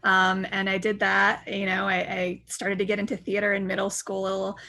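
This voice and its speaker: A woman's voice: mid-range voice, monotone, normal speed, creaky, sharp tone. A woman chatting casually as an interview guest, telling anecdotes in a talkative way. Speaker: theater actor and director, guest.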